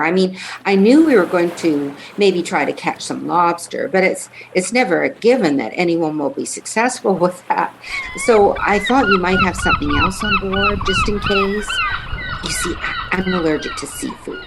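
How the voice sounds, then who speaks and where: A woman's voice: mid-range voice, wildly swooping pitch, moderate pace, vocal fry, slight background noise, rough recording. A woman playing a fussy, fretful yet gushing lady passenger in a radio play. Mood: anxious, apologetic.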